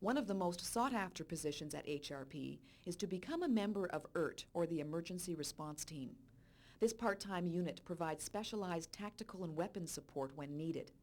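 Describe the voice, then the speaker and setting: Measured female recruitment-video narrator. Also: fairly low pitch, darkish tone. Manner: clear, measured informational delivery. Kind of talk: scripted voice-over narration.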